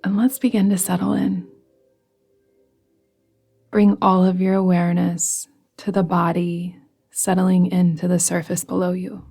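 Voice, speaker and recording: A woman guides a meditation very slowly, in an even, barely varying tone. Her voice is soft and breathy, with a creaky edge as phrases settle. She instructs gently and soothingly. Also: lowish voice. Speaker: yoga nidra guide. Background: music.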